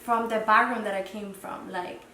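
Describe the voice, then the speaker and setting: A woman's voice: medium pitch, fairly even intonation, normal speed, pushed and bright. A young woman in her twenties from the Dominican Republic, a podcast guest speaking hesitantly and reflectively with many fillers. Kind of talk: casual interview chat. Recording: roomy echo, mic at a distance, faint noise.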